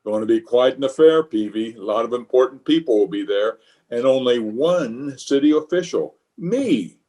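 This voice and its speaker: An actor playing a pompous, fussy middle-aged water commissioner who gets easily exasperated, in an old-time radio comedy style. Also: male voice, mid-range voice, animated, normal speed, dark tone, close to an everyday voice. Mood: self-satisfied. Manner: self-important, exasperated.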